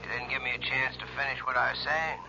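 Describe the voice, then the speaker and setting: Forceful, insistent police lieutenant. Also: male voice, medium pitch, level tone. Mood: grave, insistent. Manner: urgent, persuasive, deliberate. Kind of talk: old-time radio mystery acting. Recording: dull old radio recording, faint noise.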